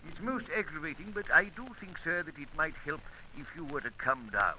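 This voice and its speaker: A man's voice: on the high side, very animated, a little fast, bright, forceful tone, slight background noise, heavily acted, poor audio. A man playing a deferential, fussy office underling, apologetic and formal, in old-time radio serial style.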